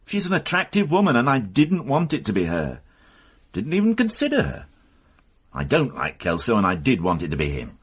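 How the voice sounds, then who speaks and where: An actor playing a weary, rueful middle-aged police inspector in a radio drama, with a husky voice. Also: male voice, mid-range voice, wildly swooping pitch, moderate pace.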